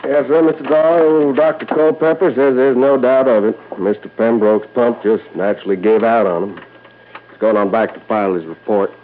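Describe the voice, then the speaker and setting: Male actor as small-town cop: mid-pitched, deferential, folksy, muffled vintage radio sound. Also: fairly even intonation, moderate pace, lightly acted. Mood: dutiful. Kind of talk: 1950s radio drama acting. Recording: muffled old radio recording, faint noise.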